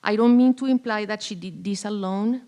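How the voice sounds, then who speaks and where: A woman's voice: medium pitch, moderate pace, warm tone. A woman presenting an award with a prepared, formal tribute speech. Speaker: award presenter, faculty colleague.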